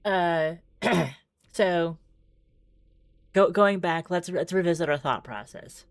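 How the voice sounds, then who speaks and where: A woman's voice: lowish voice, slow, no room sound. A woman streaming a visual novel, moving between casual, rambling commentary and dramatic read-aloud narration.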